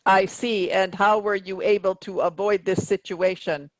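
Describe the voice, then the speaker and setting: An actor playing a stern, sardonic autopilot computer captain, speaking in a measured, formal deadpan in a sci-fi comedy reading. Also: mid-range voice, no echo, lightly acted, rough recording.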